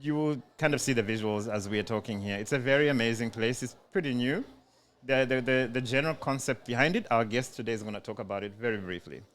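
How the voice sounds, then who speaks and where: A man's voice: mid-range voice, fast, fairly bright tone, slight background noise. An easygoing male podcast co-host chatting on location in a community centre. He talks loosely, with frequent ums and repeated words as he gathers his thoughts. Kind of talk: casual podcast chat.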